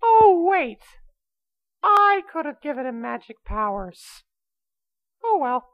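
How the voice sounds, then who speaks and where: Woman voicing a brash, high-pitched wicked kitty. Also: slow pace, muffled recording, full character voice. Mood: scatterbrained, excited. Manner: brash, excitable, whiny. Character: immortal, gleefully wicked magical kitty, childish and scatterbrained. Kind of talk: amateur comedic radio play.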